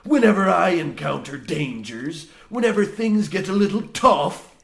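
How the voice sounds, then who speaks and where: A zealous old mine boss in a comic horror radio play. His voice is creaky and fairly high for a man, and he intones archaic, rustic phrases like a preacher. The room echoes around him. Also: sing-song, a little slow, a bit bright, heavily acted. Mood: fervent, ranting.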